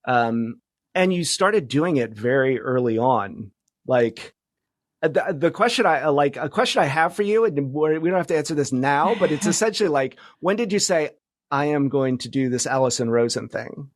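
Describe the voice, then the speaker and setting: A man speaking as an interview guest in casual conversation, reflective and halting, often restarting his thoughts. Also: on the high side, lively intonation, no room sound, clean studio sound. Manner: halting, reflective, many false starts. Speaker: podcast guest, media founder and journalist.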